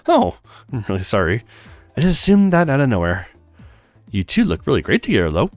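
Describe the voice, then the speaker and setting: A man plays a shy young woman in a visual novel, polite and unsure of herself. The pitch moves around widely, recorded dry with light music underneath. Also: huge pitch swings, moderate pace, dry recording. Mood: apologetic. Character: shy, hesitant, kindly young woman with regrets. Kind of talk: acted visual novel read-aloud.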